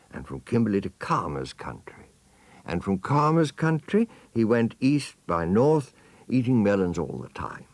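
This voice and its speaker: A man's voice: low voice, darkish tone, dry recording. A man narrating a children's storybook theatrically, savouring the words and addressing the listener directly. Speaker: audiobook narrator.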